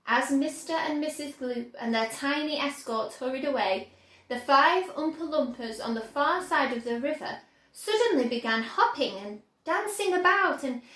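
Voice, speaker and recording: A woman's voice: high-pitched, fairly bright tone. A young adult woman reading a children's story aloud expressively. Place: bright plant-filled room indoors. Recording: roomy indoor echo.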